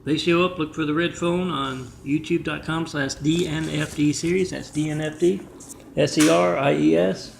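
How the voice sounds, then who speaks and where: Man vlogger, rough gravelly voice, rambling monologue to camera; echoey room with faint hum. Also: a little high, fairly even intonation, normal speed. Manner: rambling, restarts often, reads out numbers and addresses. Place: indoor apartment with a kitchen.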